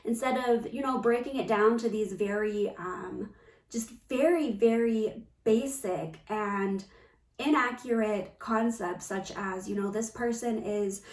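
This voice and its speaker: A woman giving a reflective spiritual talk as a coach, gentle and earnest, often pausing mid-phrase. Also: on the high side. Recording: roomy echo, distant from the mic.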